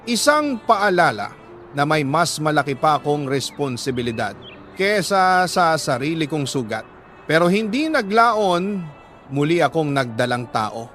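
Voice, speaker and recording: A man with a Filipino accent reading a confessional letter as a radio storyteller, in an emotive, deliberate delivery with dramatic pauses. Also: fairly high pitch, pushed and bright.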